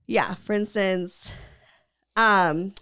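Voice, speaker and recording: A woman's voice: medium pitch, extremely slow, sharp tone, clean tone. A woman hosting a podcast, chatting casually and rambling with lots of fillers and giggles. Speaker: podcast host. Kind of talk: casual chat.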